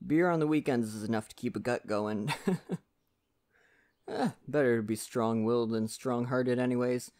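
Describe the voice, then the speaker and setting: A performer voicing a friendly, poetic male lumberjack, chatty and gently courteous, in an ASMR roleplay addressed to the listener. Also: medium pitch, normal speed, lightly acted. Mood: easygoing, chatty.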